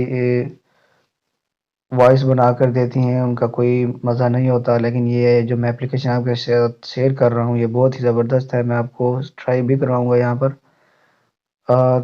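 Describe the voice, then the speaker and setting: A man hosting a tech channel, narrating an app tutorial in an instructional way to his viewers. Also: mid-range voice. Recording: muffled, dull lo-fi voice-over.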